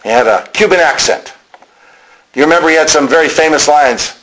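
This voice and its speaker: A male preacher with a somewhat high-set voice delivers a sermon. He explains as he goes, speaking straight to his listeners, and leans on repeated phrases for emphasis. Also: brisk, a bit bright, low-quality recording. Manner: emphatic repetition of key phrases; explanatory, addressing listeners directly.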